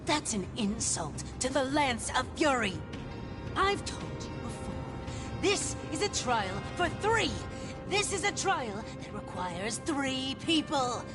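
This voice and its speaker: A woman's voice: high voice, big pitch swings, slow pace, full character voice. A woman playing a haughty, mocking herald of a brutal warrior festival in a video game, declaiming theatrically and with scorn. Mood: mocking, scornful. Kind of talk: grand theatrical game voice acting. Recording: loud game music underneath.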